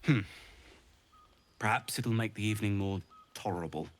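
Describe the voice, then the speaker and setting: A man's voice: fairly low pitch, moderate pace, close to an everyday voice. An actor playing a brooding, bitter man in a fantasy game, grudging and gloomy in delivery. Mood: bitter, disdainful.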